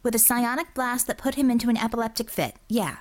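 A woman's voice: on the high side, quick pace, a little mellow, pitched above the performer's own voice, lightly acted. A woman voicing a defiant, sarcastic young female healer in an audiobook, arguing in a flippant, clipped way. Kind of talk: audiobook character dialogue.

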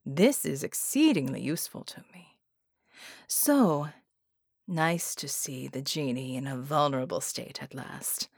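Woman playing sly male shapeshifter villain, low-pitched, ironic, courtly, audiobook drama, dry. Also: lively intonation, a little slow, gravelly, no room sound, heavily acted. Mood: sly, pleased.

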